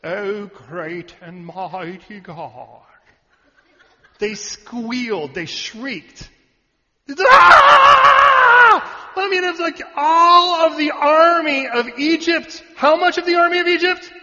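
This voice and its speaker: Animated male preacher in an echoing hall. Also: extremely high pitch, takes it slowly. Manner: animated, shouting at peaks, jokey asides to the audience. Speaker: pastor. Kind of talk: sermon. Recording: muffled hall recording.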